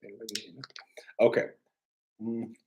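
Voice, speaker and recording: A man's voice: lowish voice, monotone, darkish tone. Offhand man livestreaming over background noise. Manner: offhand, halting, repeats phrases. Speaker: livestream host. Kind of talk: casual rambling livestream chat.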